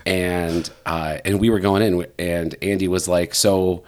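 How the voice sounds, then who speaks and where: Low-voiced male podcast host. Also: flat delivery, a little slow, a little mellow. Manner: rambling storyteller, lots of fillers and "bro". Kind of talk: casual conversation.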